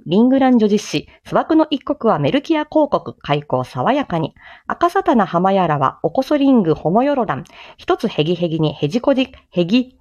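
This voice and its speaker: A woman reciting a theatrical Japanese-style sales-pitch monologue in a declamatory, sing-song way with playful touches. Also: a little mellow. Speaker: amateur voice drama performer, streamer. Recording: slightly dull lo-fi microphone sound.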